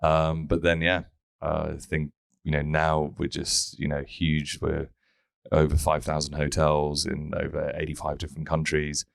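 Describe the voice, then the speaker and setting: Very deep-voiced English-accented man. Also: completely flat delivery, measured pace, vocal fry, dry recording, clean studio sound. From Czech Republic. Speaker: interview guest, tech founder. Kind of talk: casual interview storytelling.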